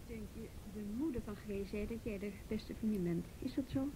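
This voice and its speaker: A woman playing a patient, persistent youth police officer in a radio drama, coaxing. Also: close to an everyday voice. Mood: gently probing. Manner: coaxing, probing, deliberate. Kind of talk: Dutch radio drama acting. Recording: old radio recording, faint rumbly noise.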